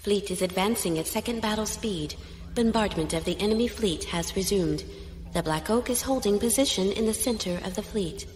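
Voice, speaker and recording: Woman doing calm bridge officer lady reading out status, matter of fact, big echoey hall reverb, sci-fi audio drama. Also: medium pitch, normal speed, close to an everyday voice. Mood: detached, businesslike. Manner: clinical, matter-of-fact reporting.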